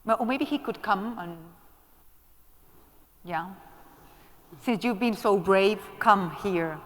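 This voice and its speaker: A woman moderates a conference panel in a mid-pitched voice. She keeps coaxing the room and encouraging people in the audience to speak. Her voice rings with the long reverb of a large hall. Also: slow, a bit bright.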